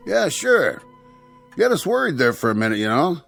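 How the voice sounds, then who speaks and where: A blunt, working-class furniture mover with a wisecracking streak. His gravelly voice sits a little high and jumps around in pitch, in radio drama style with faint music underneath. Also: big pitch swings, fairly quick, fairly bright tone, full character voice. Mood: alarmed, concerned.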